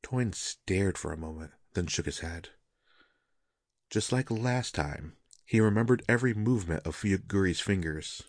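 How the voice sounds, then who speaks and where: A man's voice: sits low, dry recording, clean studio sound. A man reading a scripted fantasy story as a dramatic narrator.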